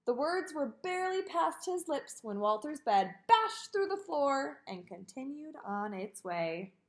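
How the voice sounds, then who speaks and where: Woman reading a storybook with very animated pitch. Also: a little slow. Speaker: storybook reader. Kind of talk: children's picture book read-aloud.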